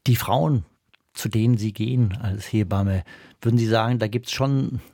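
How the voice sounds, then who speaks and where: The husky man with a creaky edge who puts long, searching questions to guests on a talk podcast. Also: on the low side, normal speed, dark tone, no room sound, studio quality. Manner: tentative, long-winded, thinks aloud while asking. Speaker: interviewer. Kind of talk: conversational interview questions.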